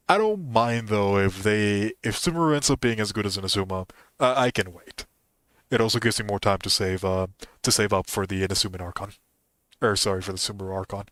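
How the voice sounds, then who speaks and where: A man's voice: on the low side, fairly bright tone, clean tone, no room sound. A man giving casual, musing commentary as he streams a game. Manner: musing, speculative.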